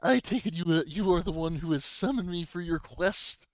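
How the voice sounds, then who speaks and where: Man as grandiose male quest-taker for a tabletop game: pompous, high-set, clear delivery. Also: animated, normal speed, no echo, lo-fi sound, natural voice. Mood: grandly formal. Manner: pompous, theatrical.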